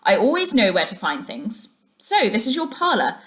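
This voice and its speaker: A woman's voice: fairly high pitch, wildly swooping pitch, moderate pace, fairly bright tone. A woman voicing a cheery, capable male water rat in a storybook, hearty and bustling, with old-fashioned turns of phrase.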